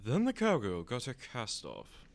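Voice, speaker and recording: Teenage male narrator with very animated pitch. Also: unhurried, gravelly, a little hiss or hum. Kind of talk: scripted storybook narration. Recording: acoustic guitar music behind.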